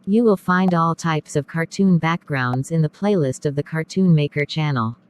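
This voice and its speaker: A narrator reading a scripted step-by-step software tutorial. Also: mid-range voice, normal speed.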